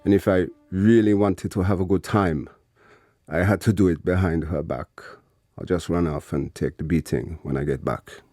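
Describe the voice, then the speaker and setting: An actor playing a Trinidadian activist who looks back on his stifling childhood with resentment, in measured, reflective storytelling over music. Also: sits low, fairly even intonation, dark tone. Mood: resentful reminiscence. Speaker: actor reading an autobiography. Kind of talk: dramatic autobiography narration. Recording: music under the voice.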